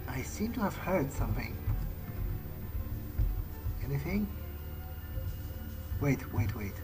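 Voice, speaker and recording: A man's voice: medium pitch, big pitch swings, slow pace, poor audio. A man giving in-character gameplay commentary, musing hesitantly to himself as he plays. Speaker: let's play gamer. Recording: game music behind the voice. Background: video game soundtrack.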